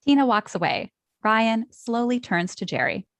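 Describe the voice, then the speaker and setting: A woman's voice: on the high side, big pitch swings, normal speed, a bit bright, no echo. A woman reading scripted stage directions at a comedy table read.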